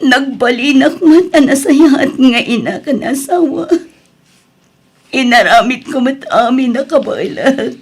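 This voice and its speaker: A woman's voice: sits high, expressive, breathy edge, full character voice. A woman with an Ilocano accent playing a betrayed, grieving wife and mother in an audio drama, lamenting tearfully. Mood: anguished, tearful. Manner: tearful, slow, drawn-out lamenting.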